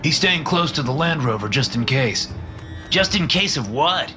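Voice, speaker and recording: A man voicing the young characters of a horror story in a raised, lively voice. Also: moderate pace, lightly acted. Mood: tense. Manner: raised pitch for dialogue. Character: young adult characters, men and a woman. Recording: music underneath.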